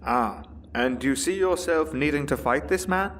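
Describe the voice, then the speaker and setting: A man's voice: on the high side, lively intonation, normal speed, a bit bright. An actor playing a droll, formal butler, wryly bemused by his employer, in an audio drama with hum and clicks in the recording. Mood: wry, teasing. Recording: clear hum and clicks behind voice.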